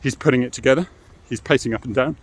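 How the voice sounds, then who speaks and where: A man's voice: mid-range voice, fast, husky. A middle-aged British man giving an informal, explanatory monologue as a former police officer, frank and matter-of-fact, often adding tag questions.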